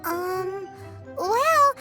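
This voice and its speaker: A woman's voice: very high-pitched, expressive, drawn-out words, bright, forceful tone, exaggerated voice. A woman voicing an innocent, guileless little girl adventurer in an anime-style video game, with simple, childlike phrasing. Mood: worried, evasive. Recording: light music behind the voice. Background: game music.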